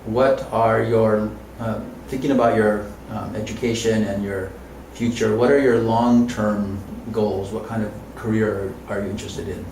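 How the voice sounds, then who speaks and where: A man interviewing a student, patient and soft-spoken with gentle murmured backchannels. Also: on the low side, steady tone, measured pace, few pauses, a little hiss or hum. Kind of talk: casual interview. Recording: roomy, distant mic.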